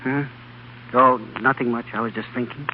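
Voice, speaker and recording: An actor playing an obsessed, driven young scientist in an old-time radio drama, with a distracted, halting, intense manner. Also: male voice, medium pitch, steady tone, close to an everyday voice. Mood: dejected. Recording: dull, noisy vintage radio recording.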